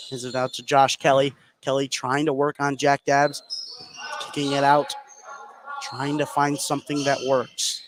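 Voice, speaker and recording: An American man doing live play-by-play basketball commentary for radio, excitable, narrating the action in short running phrases. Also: a little high. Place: indoor gym at a basketball game. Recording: noisy live sports broadcast.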